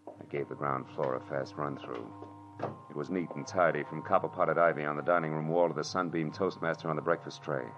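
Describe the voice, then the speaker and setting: Very low male voice, world-weary American detective, clipped and wry, old radio drama, muffled recording. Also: fairly even intonation, a little fast, noisy background. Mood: terse, wry. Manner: clipped, wry, hardboiled delivery. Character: hardboiled male private detective narrating his case, world-weary and wry. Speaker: lead actor and narrator.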